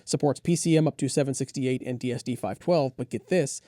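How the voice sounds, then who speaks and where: A man with a relaxed, mellow voice at a middling pitch talks through product specs as a reviewer and co-host. The sound is close and dry, and his delivery is conversational, detailed and keen. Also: a little fast, no room sound. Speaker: audio gear reviewer and co-host.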